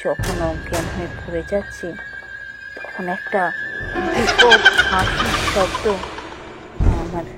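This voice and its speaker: A woman voicing an anxious young female office worker who tells her ghost story in suspenseful, drawn-out phrases. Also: fairly low pitch, steady tone. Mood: frightened, suspenseful. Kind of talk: dramatic first-person horror narration for a cartoon. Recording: music under the voice.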